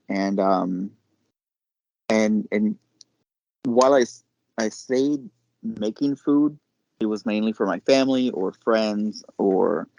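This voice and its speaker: Low, gravelly-voiced man chatting. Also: dry recording. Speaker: podcast guest, chef and caterer. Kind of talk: casual interview chat.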